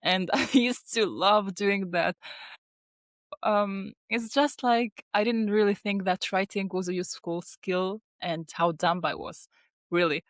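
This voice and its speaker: A woman's voice: mid-range voice, a little slow, no room sound, studio quality. A young woman from Tunisia whose first language is Arabic, chatting as an interview guest with frequent laughs and fillers. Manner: chatty, laughs often, many fillers and restarts. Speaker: guest, dental student and ghostwriter. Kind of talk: casual interview talk.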